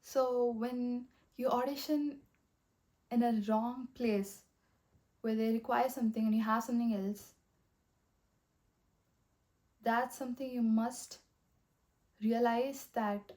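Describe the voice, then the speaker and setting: A young woman talking in a rambling, earnest way, full of fillers, with a soft voice that has a slight husky edge. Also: on the high side, fairly even intonation, measured pace. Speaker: vlogger. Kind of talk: casual motivational monologue.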